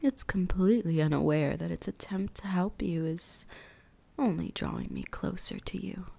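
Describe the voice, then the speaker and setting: A woman voicing an ancient, predatory and possessive vampire huntress in an ASMR roleplay, breathy and often whispering, with a teasing, menacing manner. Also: on the low side, very animated, normal speed, dark tone, full character voice. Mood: predatory, taunting. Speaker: voice actress.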